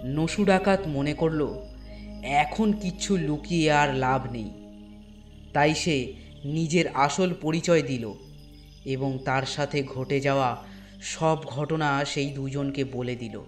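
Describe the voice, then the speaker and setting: A man narrating a scripted horror story in a deliberate way, with dramatic pauses between phrases. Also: on the high side, soft background music.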